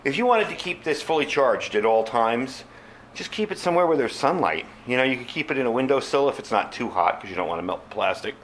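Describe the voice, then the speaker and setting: Male product reviewer, medium pitch, informal and unscripted, talking straight to viewers over audible background noise. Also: expressive, fast, fairly bright tone, low-quality recording. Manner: informal, conversational, addresses viewers as folks. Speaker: product reviewer, channel host.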